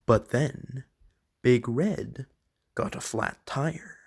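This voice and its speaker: A reader voicing a friendly moose who gently reads storybooks aloud to young children in a deliberate way. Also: mid-range voice, vocal fry, husky, full character voice. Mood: friendly, gentle. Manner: slow, deliberate, addressing children directly. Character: Alex the Moose, friendly storybook-reading moose of the enchanted woods.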